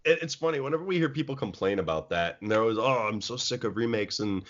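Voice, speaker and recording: Young male co-host, casual and opinionated, animated delivery, dull lo-fi recording. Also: lowish voice, quick pace. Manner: animated, opinionated, many fillers. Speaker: podcast co-host. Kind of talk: casual chat. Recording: dull lo-fi sound.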